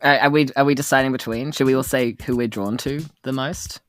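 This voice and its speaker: A woman's voice: rumbling low, fairly even intonation, fairly quick. A woman with a New Zealand accent chatting casually as a player in a tabletop game.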